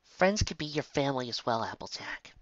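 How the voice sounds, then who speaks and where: A woman voicing a gentle, wise young mare who explains things calmly, in a dull lo-fi home recording. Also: extremely low pitch, fairly quick, no room sound. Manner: calm, explaining, reassuring.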